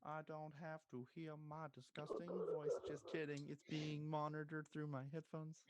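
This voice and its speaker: A man's voice: fairly high pitch, flat delivery, moderate pace, darkish tone, slight background noise. A man in casual group chat, talking in a jokey, wisecracking way. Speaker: podcast and stream regular.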